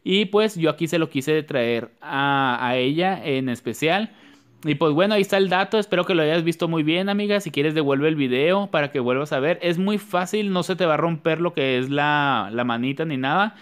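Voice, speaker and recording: A man's voice: a little high, moderate pace, clean tone. A man talking viewers through a nail tutorial in a chatty, repetitive way. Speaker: nail artist. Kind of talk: casual nail tutorial voice-over.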